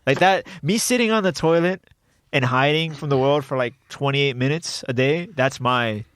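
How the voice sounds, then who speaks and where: A man with a low voice, co-hosting a podcast in rambling, joking, self-deprecating banter. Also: moderate pace, fairly bright tone, no room sound, clean studio sound. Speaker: podcast co-host. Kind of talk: casual banter.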